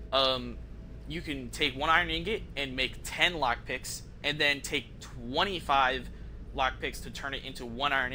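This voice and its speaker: A man's voice: mid-range voice, sharp tone, slight background noise. A man chatting casually and rambling through gameplay commentary as a streamer, conversational and prone to swearing. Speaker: video game streamer.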